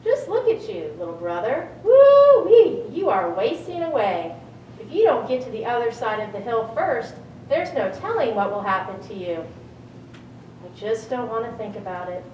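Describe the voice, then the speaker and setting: A big, cocky goat from a children's puppet show, acted by a woman, talks with a teasing swagger. The voice swoops widely and is fairly high for a woman, in an echoey room. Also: very animated, moderate pace, darkish tone. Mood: teasing, breezy. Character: big confident billy goat, teasing older brother. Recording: roomy, distant mic, faint noise.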